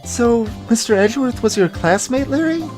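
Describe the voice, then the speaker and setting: A woman's voice: fairly high pitch, animated, normal speed, darkish tone, soft background music, voice pushed up, close to an everyday voice. A woman voicing a curious, innocent teenage girl sidekick, full of naive questions, in video game dialogue. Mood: puzzled, amazed. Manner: questioning, naive, wide-eyed. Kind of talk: voiced visual novel game dialogue.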